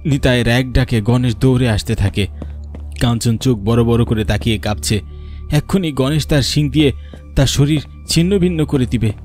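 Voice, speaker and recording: A man's voice: mid-range voice, moderate pace, a little mellow, soft background music. A man narrating a scripted horror cartoon story in a dramatic storytelling style.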